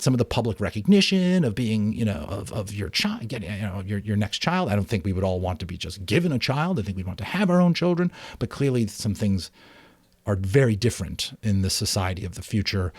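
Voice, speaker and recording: A man's voice: on the low side, darkish tone, no room sound. A middle-aged man hosting a book reading, chatting casually with his commentary. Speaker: audiobook reader and channel host.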